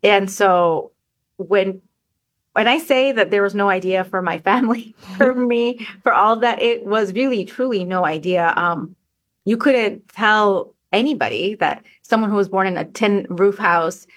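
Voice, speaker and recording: A woman's voice: medium pitch, unhurried. A woman in her forties, from Bangladesh and New York, answering casually as an interview guest. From born in Bangladesh, New Yorker. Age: 40s.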